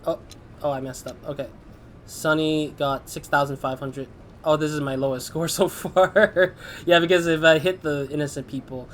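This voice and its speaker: A man's voice: a little high, steady tone, moderate pace, fairly bright tone, slight background noise. A man doing chatty let's play commentary over a game. He sounds a little stuffy from a cold and rambles. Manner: chatty, rambling, laughs often. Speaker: gaming YouTuber. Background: game music.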